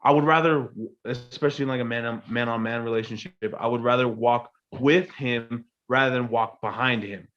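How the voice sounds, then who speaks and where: A man in his late twenties tells a personal story in casual podcast talk, often checking that his listeners follow. His pitch sits in the middle. The recording is a little dull. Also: normal speed, few pauses. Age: 20s. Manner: animated storytelling, frequent "you know what I mean". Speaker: guest. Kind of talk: casual chat.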